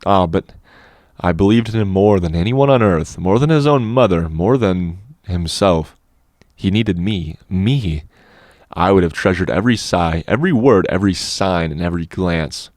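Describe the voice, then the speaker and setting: A man's voice: low-pitched, lively intonation, moderate pace, a little mellow, no room sound, clean studio sound. A man voicing a grieving young woman who speaks with earnest, breaking sorrow.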